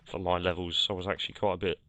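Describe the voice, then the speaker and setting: A man, croaky from a cold, casually talking over his gameplay with lots of fillers and self-corrections. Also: low-pitched, flat delivery, quick pace, sharp tone. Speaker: gameplay commentator. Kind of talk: casual game commentary.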